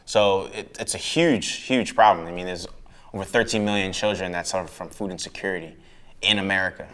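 A man's voice: deep voice, fairly quick, fairly bright tone. A young man, a chef, talking earnestly in a documentary interview in a living room with a lapel mic. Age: young adult. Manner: earnest, emphatic about sustainability.

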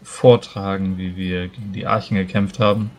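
A man roleplaying a cautious, sober adventurer in a tabletop game, speaking in a measured, careful way. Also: deep voice, steady tone, a little mellow, lightly acted. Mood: thoughtful.

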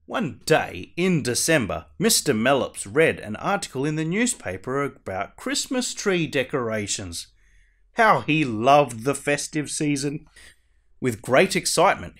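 A man playing a costumed superhero who reads a children's storybook aloud in a theatrical, deliberate way. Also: medium pitch, fairly bright tone. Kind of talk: animated picture-book read-aloud.

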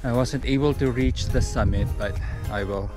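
A man's voice: medium pitch, moderate pace. A man in his forties from the Philippines, casually narrating a hiking vlog to a handheld camera outdoors and mixing English with Filipino. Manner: chatty, mixes English with Filipino. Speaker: hiking vlogger. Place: outdoors on a windy mountain trail. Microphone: handheld camera at arm's length. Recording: windy outdoor audio with music.

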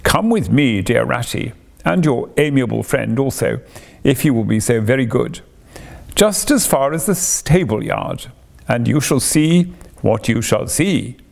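A man voicing a boastful, excitable male toad who pleads and shows off in a storybook reading. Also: medium pitch, a little slow, gravelly. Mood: grandiose. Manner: exuberant, theatrical, pleading.

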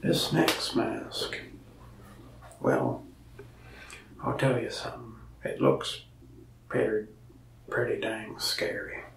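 A young man speaking softly, half-whispering as a folksy, rambling mask shop salesman. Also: lowish voice, sing-song, takes it slowly, gravelly, pauses often, echoey room, recorded from a distance, slight background noise, rough recording. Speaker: ASMR creator playing a mask shop salesman. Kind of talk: soft-spoken ASMR roleplay. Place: indoors, small room in front of a wall of masks.

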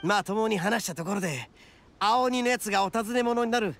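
An actor playing a brash, hot-headed but loyal young oni gang boss in an anime-style game, talking rough and blunt. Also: male voice, high-pitched, brisk, fairly bright tone. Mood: tense, worried.

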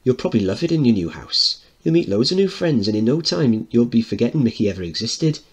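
Man playing a warm working-class mother, husky and gentle. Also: mid-range voice, very animated, fast, pitched above the performer's own voice. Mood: soothing. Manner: colloquial, gently hushing.

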